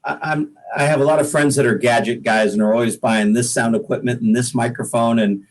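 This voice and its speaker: Medium-pitched man chatting as a guest. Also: steady tone, fairly quick. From Pacific Northwest, United States. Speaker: guest, singer-songwriter. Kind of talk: casual interview chat.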